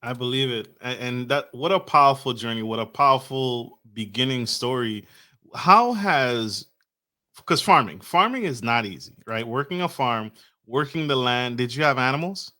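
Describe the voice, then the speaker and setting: A man's voice: mid-range voice, expressive, moderate pace, bright, forceful tone. A man hosting a podcast, effusive and enthusiastic, giving big introductions and stumbling over names. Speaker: podcast host. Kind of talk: podcast interview.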